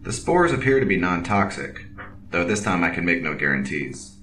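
Deep, steady space officer. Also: male voice, moderate pace, distant mic. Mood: calm, concerned. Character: dutiful, formal, steady male officer in space. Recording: off-mic with faint music behind.

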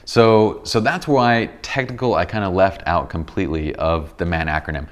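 A man in his thirties with a deep, slightly tired voice, explaining photography basics in a casual studio tutorial. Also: normal speed. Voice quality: deep voice, a bit tired from a long day. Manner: enthusiastic, explains with many examples and restarts. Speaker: photography instructor. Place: bright indoor studio set with a sofa.

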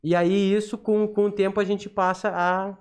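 A man's voice: high-pitched, little pitch movement, normal speed, darkish tone, clean tone. A man with a southern Brazilian gaúcho accent, telling stories casually as a guest in a small studio. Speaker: podcast guest, accordion player and teacher. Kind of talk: casual conversational storytelling. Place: small indoor podcast studio. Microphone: studio microphone on boom arm, through face mask.

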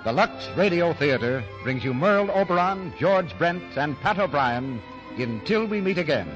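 A man's voice: a little high, expressive, moderate pace. A man announcing in a formal, proclaiming 1940s radio style. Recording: muffled vintage radio broadcast, music behind.

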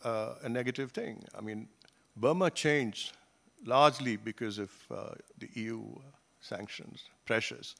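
An older man gives a panel talk at a conference table, speaking into a gooseneck microphone. His delivery is measured and thoughtful, often pausing on fillers and restarting his phrases. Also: mid-range voice, creaky, a bit bright. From Singapore. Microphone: table gooseneck mic.

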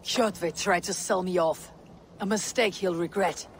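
A bold young Viking warrior speaks. The phrasing is terse, formal and brooding, as in a game cutscene, with clear ambient noise behind it. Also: male voice, sits high, measured pace. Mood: bold, commanding. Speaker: video game protagonist. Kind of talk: dramatic game cutscene acting.

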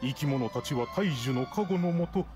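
A man voicing a solemn, courteous messenger creature of a sky people, reading game dialogue in a measured, formal way. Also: medium pitch, lively intonation, over music, voice pushed down, close to an everyday voice.

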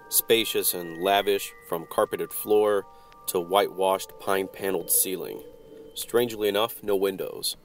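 A man playing a world-weary private detective, narrating in a wry, terse old-time radio noir style. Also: low-pitched.

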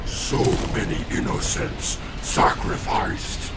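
An actor voicing a grim, demonic spirit with a rasping, gravelly voice in a game cutscene. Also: male voice, takes it slowly, background noise, lightly acted. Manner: grim, solemn menace. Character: demonic flaming-skull spirit avenger, grim. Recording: game cutscene with loud score.

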